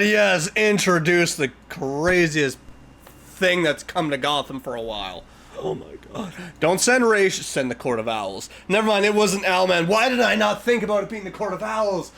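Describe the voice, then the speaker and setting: A man giving excited, exclamatory reaction commentary as a video host, talking back to what he watches in a geeky way. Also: sits high, measured pace, creaky.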